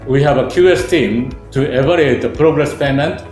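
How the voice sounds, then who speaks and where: A man's voice: mid-range voice, normal speed, darkish tone, echoey room, soft background music. A man giving a scripted corporate narration, steadily listing points one by one.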